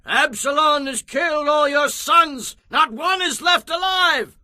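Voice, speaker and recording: An actor playing an urgent, emphatic royal courtier in a dramatized audio Bible. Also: male voice, very high voice, measured pace, pushed and bright, no room sound, clean studio sound, full character voice. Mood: alarmed. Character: urgent, forceful royal courtier bringing news and counsel.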